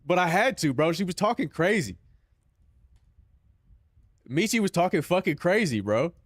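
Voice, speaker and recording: A man's voice: high-pitched, big pitch swings, normal speed, no echo, studio quality. A young man with a Southern Californian accent, chatting casually on a stream in loose, profane banter full of "bro".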